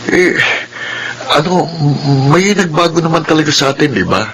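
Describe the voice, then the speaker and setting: An actor with a Filipino accent playing an easygoing, carefree teenage son in a radio drama, with a casual manner. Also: male voice, on the high side, animated, normal speed, background noise. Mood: defensive, dismissive.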